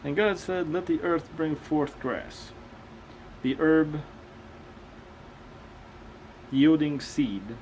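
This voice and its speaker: A man's voice: fairly high pitch, takes it slowly, darkish tone, a little hiss or hum, low-quality recording. A man reading aloud and commenting casually as a host, with a skeptical, questioning manner. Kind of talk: casual commentary between read-aloud passages.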